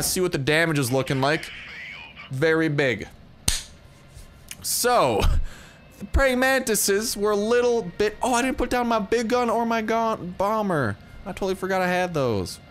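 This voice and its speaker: A young man with a fairly high voice talks through a strategy game as he plays it. He is excitable and lets his voice leap up into yelps whenever the fight turns against him. Faint game music sits low behind him. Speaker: gaming YouTuber. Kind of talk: casual gameplay commentary.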